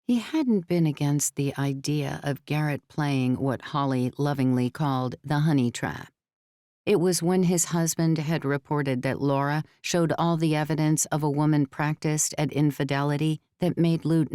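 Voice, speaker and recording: Low-voiced woman narrating a novel. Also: dark tone, no echo, clean studio sound. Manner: measured, storytelling. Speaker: audiobook narrator. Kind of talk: scripted fiction narration.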